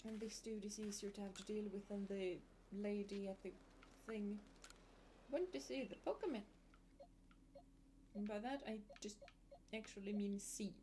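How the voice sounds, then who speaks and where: A woman's voice: mid-range voice, steady tone, normal speed, dark tone, a little hiss or hum. A woman streamer giving casual gameplay commentary, chatty and muttering asides to herself.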